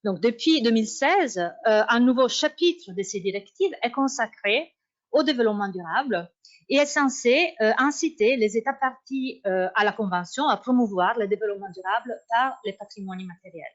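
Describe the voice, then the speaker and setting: Woman presenting research at a conference, formal and measured, stops a lot to find words, kinda high voice. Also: expressive. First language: Italian. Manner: formal, measured, often pausing to search for words. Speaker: university researcher. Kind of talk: academic conference talk. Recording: dull lo-fi sound.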